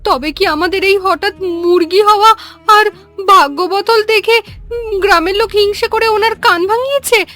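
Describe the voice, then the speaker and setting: A woman voicing a timid, worried village wife in an animated melodrama, hesitant and pleading. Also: very high voice, moderate pace. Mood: frightened, worried.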